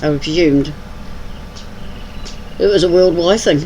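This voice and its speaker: A woman's voice: lowish voice, unhurried, darkish tone, rough recording. Older kiwi lady gaming on stream, bit of english in her accent, chatty, faint hum in the background. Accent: New Zealand with some English. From New Zealand. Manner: chatty, teasing. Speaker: livestream host. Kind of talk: casual chat while gaming.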